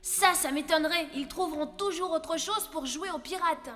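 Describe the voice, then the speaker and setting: A woman voicing a sensible lioness queen and mother in a French cartoon dub, gently chiding. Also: extremely high pitch, moderate pace. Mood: wry. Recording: long reverb, faint music.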